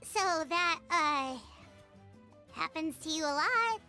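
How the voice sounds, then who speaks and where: A woman voicing a small, squeaky, childlike companion in a video game, cheeky and blunt in a teasing way. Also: expressive, takes it slowly, sharp tone, soft background music, full character voice. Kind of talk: video game character acting.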